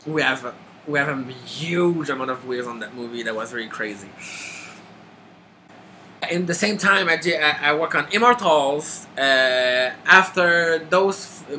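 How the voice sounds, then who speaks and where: Bright-voiced man in his thirties from Quebec. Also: mid-range voice, a little hiss or hum, low-quality recording. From Quebec, Canada. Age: 30s. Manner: halting, many restarts and filler. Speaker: makeup artist auditioning. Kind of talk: casual self-introduction to camera.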